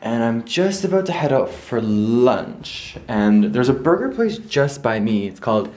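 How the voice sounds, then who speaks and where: A man's voice: mid-range voice, very animated, measured pace, darkish tone. A young man from Canada talking casually to a handheld camera, rambling and correcting himself as he thinks aloud, partly outdoors in a park and partly indoors. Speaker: travel vlogger. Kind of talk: casual travel vlog.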